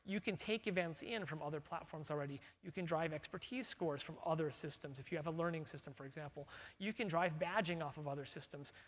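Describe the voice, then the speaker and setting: A man's voice: fairly high pitch, a bit bright, in a large hall. A middle-aged man giving a casual conference keynote as a company tech chief, talking in a loose way with fillers and restarts. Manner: conversational, with frequent fillers and restarts. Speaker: company CTO presenting product strategy. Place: large conference hall.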